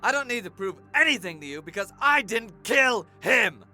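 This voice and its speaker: A man plays a volatile murder suspect in an audio drama, agitated and defensive. His voice is very sharp and tense, leaping widely in pitch. He pleads and swears like someone cornered, with music underneath. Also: lively intonation, moderate pace, heavily acted. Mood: furious, desperate. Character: sobbing, volatile, frightened male murder suspect under interrogation.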